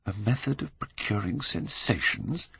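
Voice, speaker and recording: A man voicing a weary, brooding younger Victorian gentleman in an audiobook, restrained and probing, with a British accent. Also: medium pitch, lively intonation, a little slow, gravelly, husky, dry recording, pitched above the performer's own voice, exaggerated voice.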